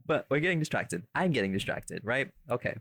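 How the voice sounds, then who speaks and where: A man hosts an unscripted podcast in a mid-pitched voice with a dry, close sound. He rambles and banters, often correcting himself and putting on a playful show-host formality. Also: very animated, fairly quick, creaky, a bit bright, no room sound. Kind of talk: casual unscripted podcast chat, playful mock-formal introductions.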